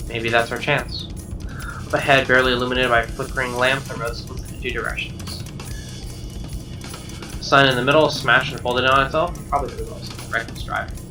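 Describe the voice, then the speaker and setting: A man reading a visual novel aloud as dramatic, expressive narration, with game music behind him. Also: mid-range voice, a little fast. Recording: slightly distant mic under game music.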